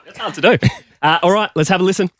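A man on a radio show, giving upbeat cues and short brisk remarks. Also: sits high, background noise. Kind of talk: radio show links and banter.

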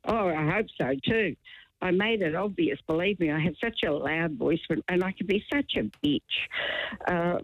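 A woman's voice: lowish voice, normal speed, no echo. A chatty woman, calling in to a radio show and telling an indignant story. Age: 70s. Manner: chatty, indignant, self-deprecating. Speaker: radio caller. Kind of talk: phone-in chat. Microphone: telephone. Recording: tinny phone line.

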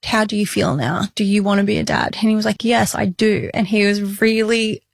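A woman's voice: mid-range voice, fairly even intonation, gravelly, no echo, studio quality. Frank woman in her late thirties. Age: 30s. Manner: frank, earnest, quick to laugh. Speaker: podcast co-host. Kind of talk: candid casual chat.

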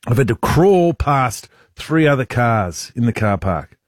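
A man with an Australian accent and a deep voice, telling an animated, laughing story as a radio host. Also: unhurried, dry recording, studio quality. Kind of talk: storytelling banter.

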